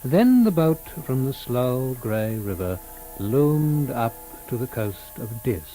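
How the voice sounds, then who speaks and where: A man giving a dramatic literary narration of a story in a solemn, measured manner. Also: medium pitch, very animated, mellow, faint music behind.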